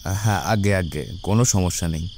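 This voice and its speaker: A man's voice: sits low, moderate pace, darkish tone, a little hiss or hum, lightly acted. A man playing an earnest village vegetable seller in a cartoon, respectful but resolute. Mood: respectful complaint. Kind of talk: cartoon voice acting.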